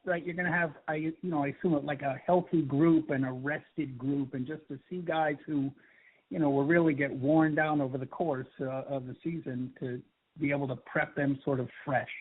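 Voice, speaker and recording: A male sports reporter works through his questions in a halting, rambling way, restarting his sentences often. His voice is a little higher than average and sounds thin and tinny over the phone. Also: fairly even intonation. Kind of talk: asking questions on a conference call.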